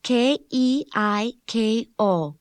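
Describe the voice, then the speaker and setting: A woman's voice: on the high side, drawn-out words, no room sound. A woman voicing a young student who carefully spells her name in a scripted textbook dialogue.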